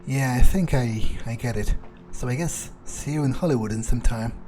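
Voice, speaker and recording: A man's voice: mid-range voice, normal speed, over music, lightly acted. A man voicing a cynical, self-serving vampire, thinking aloud as he roleplays through a video game. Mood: businesslike. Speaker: gamer roleplaying his character.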